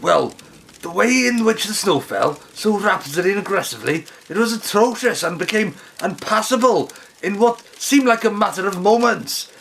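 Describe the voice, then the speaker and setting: A man's voice: high voice, animated, moderate pace, creaky, fairly bright tone, a little hiss or hum, full character voice. An actor playing a stuffy, dismissive middle-aged British father, formal and wordy, in an old-style radio play. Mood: wordy, awed. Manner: fussy, wordy, formal, scolding.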